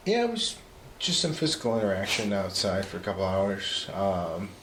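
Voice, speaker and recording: A man's voice: deep voice, sing-song, moderate pace, background noise. A man from Mexico, chatting casually as a guest on a live stream, easygoing and full of fillers. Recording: roomy echo.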